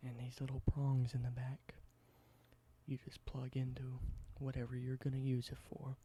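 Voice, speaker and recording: A man doing a whispered ASMR roleplay, explaining things slowly and correcting himself now and then. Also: medium pitch, monotone, slight background noise. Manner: soft whisper, slow explanations with small self-corrections. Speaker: ASMR creator.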